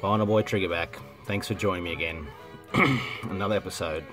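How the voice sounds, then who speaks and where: An Australian man talks casually to his viewers in a low voice that sounds congested from a head cold. He rambles, backtracks and clears his throat often, while soft music plays in the background. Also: a little fast. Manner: rambling, self-correcting, frequent ums and throat clearing. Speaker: vinyl collecting vlogger. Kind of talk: casual rambling chat to camera. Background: music playing softly.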